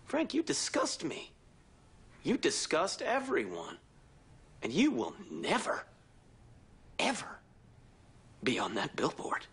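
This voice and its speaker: A man playing a vain, menacing narcissist in a sitcom, with a creepy voice, grandiose and deliberately dramatic in delivery. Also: on the high side, wildly swooping pitch, fairly bright tone, full character voice. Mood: cold contempt. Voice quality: creepy voice, slipping into breathy whispers. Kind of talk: sitcom monologue acting.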